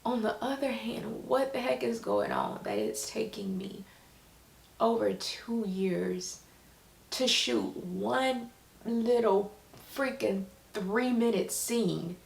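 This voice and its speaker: A woman vlogger speaking candidly and informally in a halting way, as a writer talking about her own creative work. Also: fairly high pitch, lively intonation, gravelly. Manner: halting, informal, acts out quoted remarks. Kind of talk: candid personal vlog. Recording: echoey room sound.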